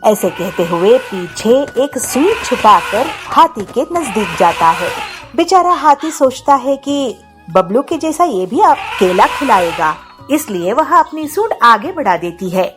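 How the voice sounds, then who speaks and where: A woman's voice: on the high side, very animated, unhurried, music in the background. A woman narrating a scripted moral story for children.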